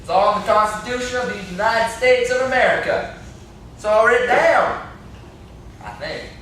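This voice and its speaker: A man's voice: extremely high pitch, expressive, normal speed, fairly bright tone. A young man acting in an amateur stage play as a defiant, cocky backwoods dog-fight promoter who argues brashly. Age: young adult. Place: classroom, indoors. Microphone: far camera. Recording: echoey classroom, distant camera mic, noisy.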